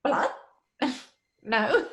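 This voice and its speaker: High-voiced, sharp-tongued sceptical woman. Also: big pitch swings, very slow, creaky, exaggerated voice. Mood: uneasy denial. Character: sceptical, sharp-tongued actress in a theatre troupe. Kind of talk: horror audio drama acting.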